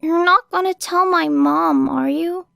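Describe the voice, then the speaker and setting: A woman voicing a cunning young girl who feigns a timid, hurt child, halting and plaintive, in an audiobook story. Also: very high-pitched, gravelly, fairly bright tone, no echo, clean studio sound, pitched above the performer's own voice, exaggerated voice.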